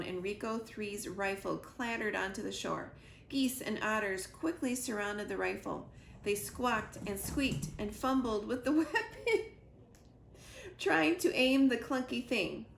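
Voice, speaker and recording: A woman reading a children's storybook aloud with expressive narration, recorded in a roomy living room. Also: medium pitch, creaky. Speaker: reader, school librarian or teacher. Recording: roomy echo, faint background noise.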